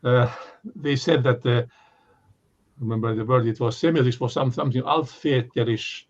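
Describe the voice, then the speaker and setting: An older man speaks with a Finnish accent in a mid-pitched voice, lecturing off the cuff. He stops and restarts often, filling gaps with ums and uhs. The recording sounds dull and lo-fi. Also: fairly even intonation. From Finland. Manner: halting, many fillers and restarts. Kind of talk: unscripted academic talk.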